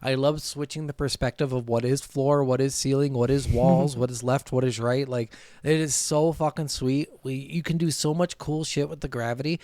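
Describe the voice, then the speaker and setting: A man's voice: mid-range voice, steady tone, normal speed, few pauses, darkish tone, dry recording, clean studio sound. A man co-hosting a casual gaming podcast, talking bluntly and swearing freely, sometimes reading from notes. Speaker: podcast co-host.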